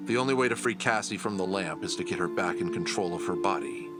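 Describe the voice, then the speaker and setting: A cunning villain played by a man with a very low voice, forced into a reluctant alliance. He speaks in measured, formal sentences, proud and condescending, with quiet menace, as in an audio drama. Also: lively intonation, over loud music. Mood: measured, superior.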